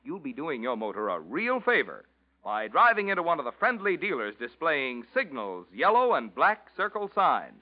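A male announcer pitches the product briskly, in an old-time hard-selling style. His voice is fairly high and swoops sharply up and down. It comes through a dull, muffled old radio recording. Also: huge pitch swings. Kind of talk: 1940s radio commercial.

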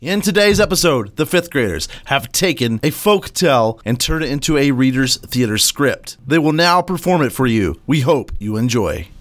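A man's voice: mid-range voice, expressive, normal speed, hardly any pauses. A man reading a scripted podcast introduction. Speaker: episode introducer.

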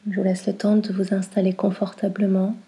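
A woman guiding a relaxation meditation in a soft, breathy, soothing way, in short phrases with long pauses. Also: medium pitch, monotone. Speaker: yoga nidra guide.

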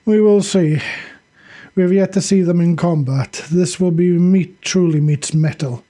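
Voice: mid-range voice, unhurried, mellow, lightly acted. A narrator voicing a dry, grumbling woman soldier in an audiobook. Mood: skeptical.

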